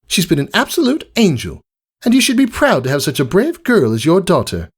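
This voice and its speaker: A gracious cartoon lady pony with theatrical flair, performed by a man. The voice is pitched high and sweeps up and down dramatically. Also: huge pitch swings, fairly quick, breathy edge, full character voice. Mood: effusive. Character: gracious, dramatic lady pony, adult female boutique owner.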